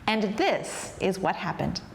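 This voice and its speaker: Slow, animated woman reading to children. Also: mid-range voice, creaky, echoey room, slight background noise. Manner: expressive, measured. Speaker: storyteller, show host. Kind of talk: children's read-aloud narration.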